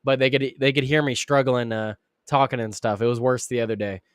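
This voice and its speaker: Raspy guy, kinda sick, rambling live stream host, dry close mic. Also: steady tone, fairly quick, dry recording. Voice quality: raspy, deeper than usual. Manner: rambling, many restarts and fillers. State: sick, clearing throat and coughing. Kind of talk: casual live-stream commentary.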